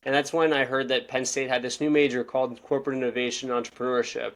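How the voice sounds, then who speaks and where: A man's voice: mid-range voice, level tone. A young man in his twenties from Israel, chatting casually as a podcast guest, rambling and correcting himself as he goes. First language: Russian. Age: 20s. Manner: fast, rambling, self-correcting. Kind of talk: casual interview talk.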